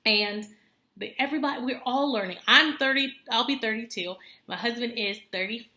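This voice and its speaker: A woman in her early thirties speaks in a bright, clear voice. She is earnest and chatty, full of fillers and self-corrections. The room is echoey. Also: a little high, normal speed. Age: 30s. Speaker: homemaker vlogger. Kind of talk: casual talk to camera.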